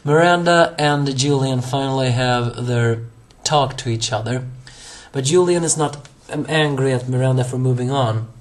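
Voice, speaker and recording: A hoarse young man reviewing an anime episode on his own, rambling with many fillers, restarts and self-corrections. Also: medium pitch, normal speed.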